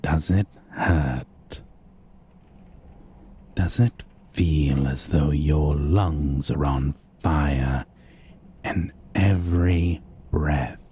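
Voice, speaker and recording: Sadistic male vampire for ASMR roleplay, acted by a man: extremely low, seductive, menacing, very drawn-out delivery. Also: warm tone, heavily acted. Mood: cruel, gloating. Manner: slow, menacing, breathy taunting.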